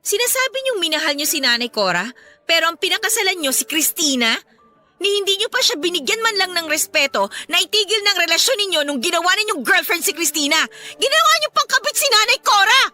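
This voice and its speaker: An actress with a Filipino accent playing a bitter, defiant young adult daughter in an emotional, accusing radio drama style. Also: female voice, extremely high pitch, big pitch swings, quick pace, sharp tone, soft background music, heavily acted. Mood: tearful, furious.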